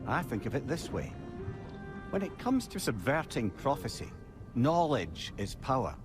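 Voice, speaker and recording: A man's voice: mid-range voice, very animated, unhurried, faint music behind. A man playing a witty, avuncular older talking head in a video game, a learned storyteller with a Scottish accent and wry, eloquent delivery. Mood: relishing storyteller. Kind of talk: theatrical video game acting.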